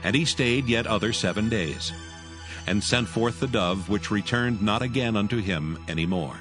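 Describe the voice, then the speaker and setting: A man with a fairly low voice gives a solemn, measured reading as a scripture narrator. Music plays clearly behind his scripted narration.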